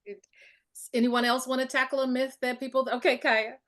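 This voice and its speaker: A woman moderating a panel, brisk and quick to hand over, often saying "indeed". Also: high-pitched, little pitch movement.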